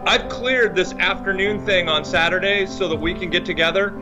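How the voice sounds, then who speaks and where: A man's voice: sits high, normal speed, rough recording. A man talking casually on a podcast as an author and panelist. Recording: guitar music over the voice. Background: guitar music.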